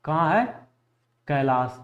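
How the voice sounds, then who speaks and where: A man's voice: on the high side, lively intonation, takes it slowly, fairly bright tone, muffled recording. A man teaching an exam lesson, repeating key facts and asking questions that he answers himself. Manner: repetitive, asks questions and answers them himself. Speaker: history teacher for exam preparation. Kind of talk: classroom lecture drilling facts for exams.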